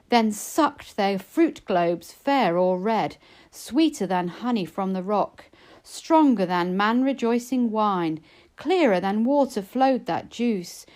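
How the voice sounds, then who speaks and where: A woman reading narrative poetry expressively, in a measured storytelling manner. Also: a little high, a little mellow. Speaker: poetry reader.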